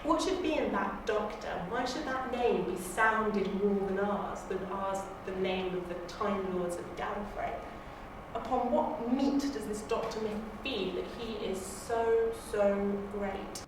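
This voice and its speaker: A woman's voice: medium pitch, a little slow, lightly acted. A woman auditioning as an indignant, envious Time Lord orator in a theatrical monologue. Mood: scornful. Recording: distant, very echoey room, clear noise.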